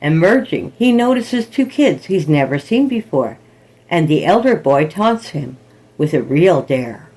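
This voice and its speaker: A woman with a fairly low voice hosts a horror podcast. Her scripted delivery swings widely in pitch and is playfully spooky and theatrical. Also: sing-song, unhurried, darkish tone, husky, rough recording. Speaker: podcast host introducing horror stories.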